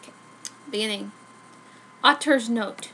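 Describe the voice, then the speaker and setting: A woman's voice: fairly high pitch, a little slow, bright, forceful tone, low-quality recording. A woman giving a mock-serious, exaggerated dramatic reading of comic fan fiction. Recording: noticeable background noise, amateur recording.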